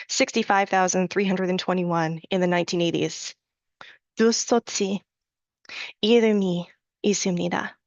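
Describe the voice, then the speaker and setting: A woman reading her own poetry aloud at a live reading, in a measured, deliberate recitation with rhythmic repetition and soft, low-key asides between poems. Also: no room sound. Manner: slows and chants the Latin and prayer lines. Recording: dull, dry lo-fi recording.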